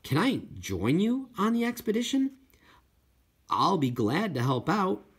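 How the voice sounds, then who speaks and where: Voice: medium pitch, huge pitch swings, unhurried, breathy edge, voice pushed up, full character voice. An audiobook reader voicing an eager, determined schoolgirl who loves whales, in an earnest manner. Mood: eager, hopeful.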